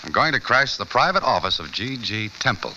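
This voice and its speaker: A man's voice: lowish voice, fairly quick, dull audio, exaggerated voice. A man acting a terse, brooding American newspaperman in an old radio drama, wry and pressing in his questions. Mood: brisk. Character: hard-boiled, brooding newspaperman investigating a young woman's death. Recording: noisy old radio recording, steady hiss.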